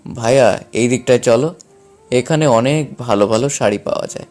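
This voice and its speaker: A man's voice: medium pitch, brisk, darkish tone, natural voice. Man playing confident young hero over music. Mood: calm, casual. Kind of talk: dramatised audio story dialogue.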